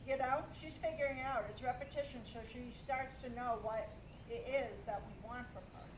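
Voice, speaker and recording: A woman dog trainer giving a practical outdoor lesson, explaining in a steady, instructive way. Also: sits high, brisk, roomy sound, recorded from a distance, heavy background noise. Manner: practical, instructive, talks over others.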